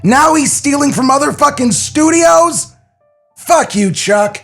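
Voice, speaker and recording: A man's voice: very high voice, lively intonation, slow pace, vocal fry, fairly bright tone, soft background music. A sarcastic, profane man co-hosting a comedy podcast, riffing critically during a table read. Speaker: podcast co-host.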